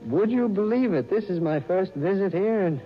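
An actor playing a polite, reserved gentleman in a 1940s radio drama, hesitant and wistful. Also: high-pitched, expressive, moderate pace, breathy edge. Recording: dull old radio recording with music.

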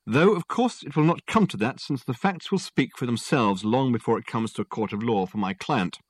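A man's voice: mid-range voice, lively intonation, normal speed, slightly rough, no room sound. A man doing an airy, eccentric detective. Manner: airy, precise, whimsical. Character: eccentric, urbane male detective, airy and evasive.

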